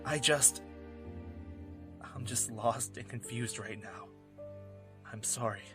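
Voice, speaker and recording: A man's voice: medium pitch, slow, close to an everyday voice. A man playing a weary drifting former soldier in an audio drama, wry and casual, with music behind him. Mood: regretful, lost. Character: weary drifting male ex-soldier, teasing, regretful brother. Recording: background music under the voice.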